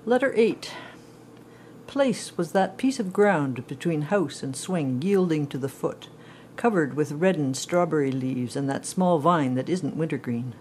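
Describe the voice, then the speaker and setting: Woman reading poems out loud, expressive and deliberate, bit of background noise. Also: low voice. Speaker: poetry reader. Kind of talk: poetry reading.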